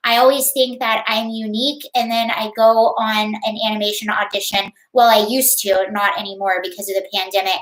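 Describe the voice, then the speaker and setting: A woman with a high-pitched voice hosting a casual livestream from home, chatting in a rambling way and greeting viewers by name. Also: level tone, fairly quick, few pauses, a bit bright, reverberant, poor audio. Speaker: livestream host.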